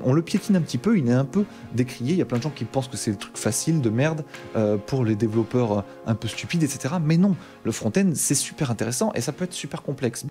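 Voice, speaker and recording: Man with a Bordeaux accent, quick-talking and animated, slangy, with faint music underneath. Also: fairly high pitch. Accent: Bordeaux French. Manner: animated, slangy, mildly exasperated. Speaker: tech YouTuber. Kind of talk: casual talk to camera.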